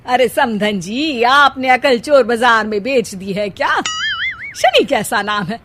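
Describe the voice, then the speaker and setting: A woman voicing a sharp-tongued, sarcastic mother-in-law in a family cartoon, mocking and scolding. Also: fairly high pitch, very animated, takes it slowly, over music. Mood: scornful. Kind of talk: comic cartoon dialogue.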